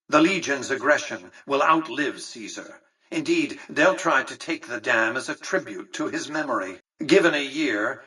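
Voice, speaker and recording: An actor playing an imperious, calculating tycoon in a video game, speaking formally with pompous condescension. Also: male voice, mid-range voice, pushed and bright, slightly rough, distant mic, poor audio. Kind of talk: scripted game character dialogue.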